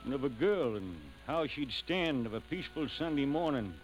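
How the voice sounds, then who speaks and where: Guy doing old timey radio narrator, poetic n stirring, mid pitch, bit of music behind. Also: animated, natural voice, poor audio. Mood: reverent. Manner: stirring, poetic, measured cadence. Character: reverent storyteller of a war hero. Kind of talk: 1940s dramatic radio narration.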